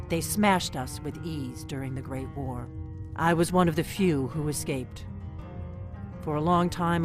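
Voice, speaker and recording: A woman's voice: deep voice, lightly acted. An actress playing a terse, world-weary middle-aged female secret agent in a fantasy video game, wry and guarded. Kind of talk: video game character dialogue. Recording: game music behind the voice.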